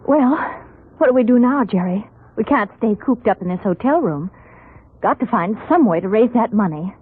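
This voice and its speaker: An actress playing an eager, scatterbrained American wife and amateur sleuth in a 1940s radio mystery, chatty and exclamatory. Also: female voice, a little high, lively intonation, moderate pace, slightly rough, phone-quality sound. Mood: worried, eager. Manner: breathless, hesitant, chatty, exclaiming golly. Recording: thin, band-limited 1940s radio recording.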